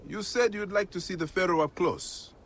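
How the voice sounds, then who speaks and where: An actor playing a patient Egyptian father and protector, measured and instructive in a naturalistic game scene. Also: high-pitched, a bit bright. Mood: tender, reflective. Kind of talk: naturalistic game cutscene acting.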